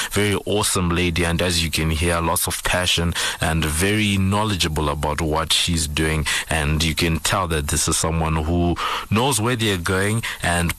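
A man's voice: low-pitched, fairly bright tone, dry recording. A man with a South African accent hosting a radio business show, wrapping up with many "uh" and "you know" fillers. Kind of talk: radio talk show wrap-up. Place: radio studio.

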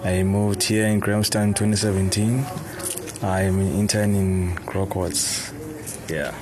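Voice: low-pitched, level tone, measured pace, a crowd in the background. A young adult from South Africa, a photography intern, laid-back in a casual interview. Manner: laid-back, laughs easily.

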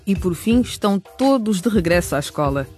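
A woman's voice: medium pitch, lively intonation, brisk, over loud music. A woman narrating a radio drama from a script in a presentational way.

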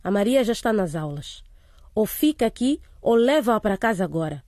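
An actress playing a firm, caring middle-aged headmistress in a radio drama, speaking in an earnest, measured way. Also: female voice, mid-range voice, animated, a little mellow, no room sound, studio quality, natural voice. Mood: firm, insistent.

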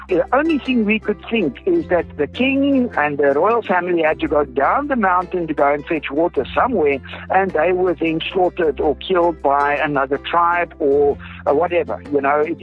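A man from South Africa with an Afrikaans accent, a native Afrikaans speaker, explaining things earnestly as an expert guest in an interview. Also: a little high. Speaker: groundwater researcher, interview guest. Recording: dull lo-fi audio with music behind. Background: music.

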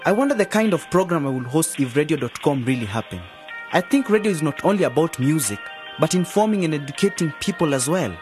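Young male radio-drama role, actor: earnest explainer, very animated pitch, faint music behind. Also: fast talker, lightly acted. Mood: thoughtful. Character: earnest, reasoning youngster pitching ideas in friend group. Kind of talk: educational radio drama acting.

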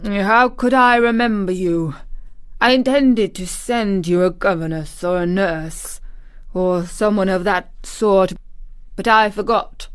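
A woman voicing a miserable, fretful gentleman in a storybook, worried and absent-minded. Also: medium pitch, slow, clean tone, close to an everyday voice. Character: miserable, fretful, distracted middle-aged gentleman guardian.

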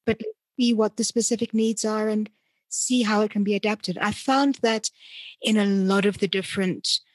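A woman hosting a conversational interview, speaking deliberately and often rephrasing as she goes. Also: medium pitch, steady tone, no echo, poor audio. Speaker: interviewer and podcast host.

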